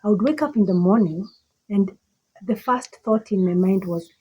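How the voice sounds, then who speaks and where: A woman with a Kenyan accent and a slightly husky voice, giving an emphatic, deliberate motivational monologue that repeats phrases for effect. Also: medium pitch, warm tone, slight background noise, low-quality recording. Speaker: motivational speaker.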